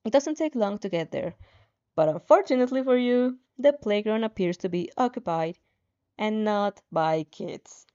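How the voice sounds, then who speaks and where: A woman's voice: medium pitch, expressive, normal speed, mellow, clear, resonant tone, muffled recording. A woman reading a story aloud, often stumbling and repeating words as she narrates. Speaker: fan fiction narrator.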